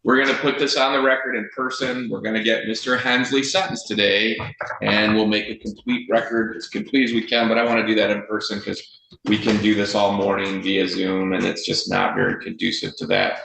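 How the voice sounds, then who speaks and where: Gravelly low-voiced American male judge. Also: level tone, pushed and bright. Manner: deliberate, firm, measured phrasing with pauses. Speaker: judge presiding over a court hearing. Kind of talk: formal courtroom speech on the record. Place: indoor courtroom. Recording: roomy echo, faint noise, courtroom audio.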